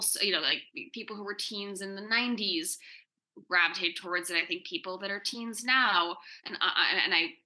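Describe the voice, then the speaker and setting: A woman with a strikingly bright, forward voice talks as a guest writer on a panel. She thinks aloud, stopping and doubling back on words as she builds each idea. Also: fairly low pitch, low-quality recording. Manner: halting, repeats words while forming thoughts. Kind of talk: thoughtful panel discussion.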